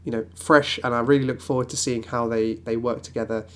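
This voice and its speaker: A man's voice: mid-range voice, fairly even intonation, fairly quick, a bit bright, clear, resonant tone. A man who has a cold and sounds nasal and snivelly. He hosts a solo football podcast and rambles along with restarts and fillers. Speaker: podcast host.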